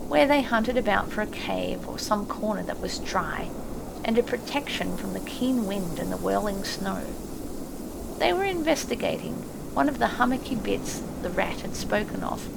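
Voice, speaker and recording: A woman narrating an audiobook story expressively from a script. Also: medium pitch. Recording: clearly noisy, ambient effects behind. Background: ambient sound effects.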